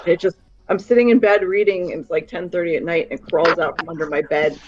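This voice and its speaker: A woman chatting casually as a co-host, telling stories in an animated way and swearing freely. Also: on the low side, fast talker, background noise. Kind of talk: casual chat. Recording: dull lo-fi sound.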